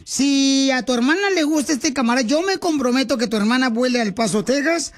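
A man's voice: very high-pitched, expressive, brisk. A man hosting a playful radio matchmaking segment, teasing callers with pet names. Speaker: radio show host.

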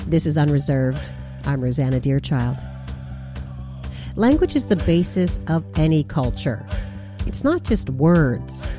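A woman hosting a radio show, narrating a scripted feature in a measured, deliberate way. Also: low voice, expressive, music in the background.

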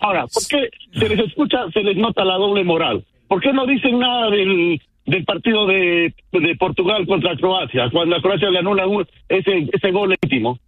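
A man's voice: sits high, moderate pace, no echo, phone-quality sound. A man from Peru calling in to a radio show by phone, arguing insistently. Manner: insistent, argumentative. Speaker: caller. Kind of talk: call-in phone argument.

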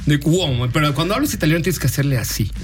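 A man's voice: fairly high pitch, animated, fast, noisy background. A man with a Mexican accent hosting a casual talk show, joking and teasing his guest. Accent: Mexican Spanish. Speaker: radio host. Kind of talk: casual studio chat.

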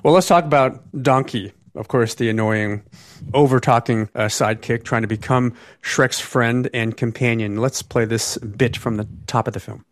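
A man's voice: medium pitch, steady tone, normal speed, no room sound, studio quality. A middle-aged man hosting a conversational radio interview. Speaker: radio host.

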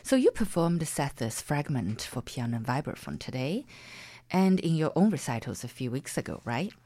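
A woman's voice: low-pitched, big pitch swings, moderate pace, dark tone, dry recording. A woman presenting a classical music radio show, interviewing a guest and introducing the next pieces. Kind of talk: radio hosting and announcing.

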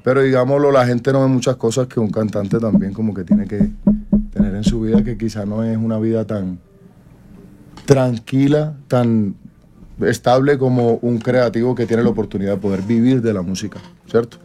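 A man's voice: mid-range voice, fairly even intonation, moderate pace, mellow. A Colombian man in his twenties, a singer talking casually in an interview, rambling with slang and restarts. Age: 20s.

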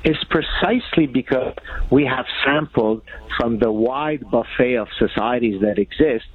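A man's voice: medium pitch, measured pace, slightly rough. A man from Lebanon, an Arabic speaker, making pointed, emphatic arguments as a radio interview guest. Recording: tinny phone line.

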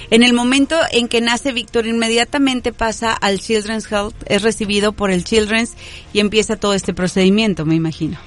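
A woman hosting a radio interview during a radiothon, speaking courteously and slowing down to stress key points. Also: on the high side. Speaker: radio host. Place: radio studio, streamed live on video.

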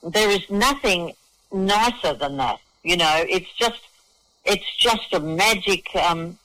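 A man's voice: high-pitched, expressive, slow, bright, forceful tone, rough recording. A middle-aged or older man with an Australian accent, chatting casually and effusively as an interview guest, matey and often repeating words as he starts phrases. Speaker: festival organiser and singer-songwriter, interview guest. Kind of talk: casual radio interview chat.

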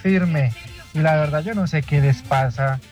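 A man's voice: a little high, a little slow, a little mellow. A man with a Colombian accent chatting casually as a listener calling into a radio show. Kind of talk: casual chat. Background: music.

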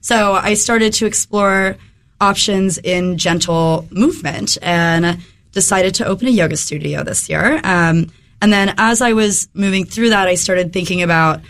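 A woman interview guest, a little hoarse from a head cold, explaining things conversationally. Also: mid-range voice, measured pace, fairly bright tone. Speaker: interview guest, wellness business owner.

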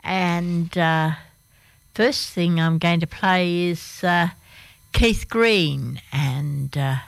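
A woman with an Australian accent and a croaky voice, presenting the weather on community radio in a hesitant, unscripted way with many fillers. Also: fairly low pitch, very slow, no room sound. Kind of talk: reading the local weather on air.

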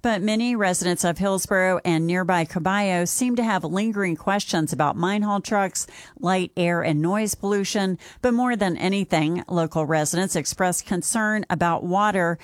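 Woman reporter, scripted news, measured, low-ish, dry studio. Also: dry recording. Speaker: radio reporter.

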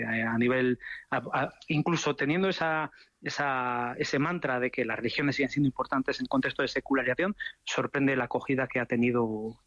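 Male academic guest, mid-pitched, measured and searching, muffled dry line. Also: fairly bright tone, dry recording. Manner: measured, thoughtful, repeats words while searching. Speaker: sociologist guest. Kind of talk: radio interview answers.

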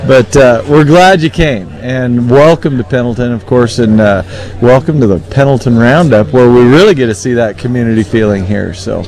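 A man hosting a casual live broadcast interview, speaking in a folksy, halting, conversational way. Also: mid-range voice, a little mellow, poor audio. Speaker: radio show host and interviewer.

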